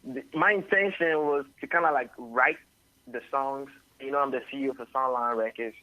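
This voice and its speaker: A Ghanaian singer-songwriter answering radio interview questions at length, often adding "you know". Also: male voice, mid-range voice, moderate pace, pushed and bright, no room sound, rough recording. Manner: rambling, tags sentences with "you know". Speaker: singer-songwriter and label head.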